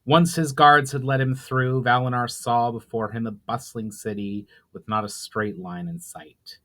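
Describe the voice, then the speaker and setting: A man with a medium-pitched voice narrates a fantasy novel. He is the author, giving a dramatic, scripted audiobook-style reading. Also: a bit bright. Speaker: author narrating his own book.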